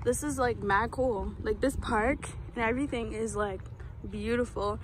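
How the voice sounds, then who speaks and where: A woman's voice: on the high side, fairly even intonation, normal speed, very noisy. A young woman talking casually into her phone camera on a road trip, loose and candid with lots of fillers. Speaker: vlogger. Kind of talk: casual travel vlog. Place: hotel room, then car and outdoors.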